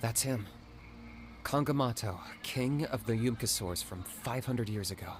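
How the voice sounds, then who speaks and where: An actor playing a calm, resolute young male warrior in a video game, speaking in a terse, composed, matter-of-fact way. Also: mid-range voice, unhurried, slight background noise, close to an everyday voice. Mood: calm, focused. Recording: loud game music behind voice. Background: game music.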